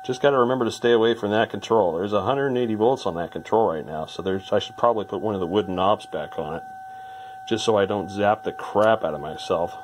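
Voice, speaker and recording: A man's voice: on the low side, fast talker, noisy background. A man giving casual, hands-on repair commentary as a hobbyist, thinking aloud in an informal way. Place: indoor workbench.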